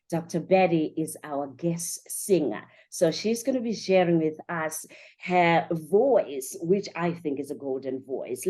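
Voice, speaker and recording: A woman with a fairly low voice hosts a podcast interview show. She is enthusiastic and chatty, talking directly to her audience in an upbeat presenting style. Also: a little mellow. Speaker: show host and conference organiser.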